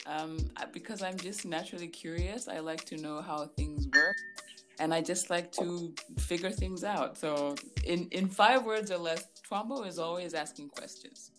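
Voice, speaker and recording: Low-voiced Zambian-raised woman, laughing often. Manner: warm, laughs often, repeats words for emphasis. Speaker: guest life coach. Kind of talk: casual interview chat.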